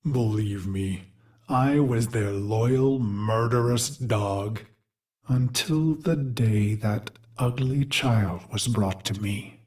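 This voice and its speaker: A man voicing a brooding warrior machine mind in a video game, recalling a brutal past in a grave, theatrical monologue with deliberate phrasing. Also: lowish voice, darkish tone. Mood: bitter, confessional. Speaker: game character narrator. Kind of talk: dramatic scripted game monologue.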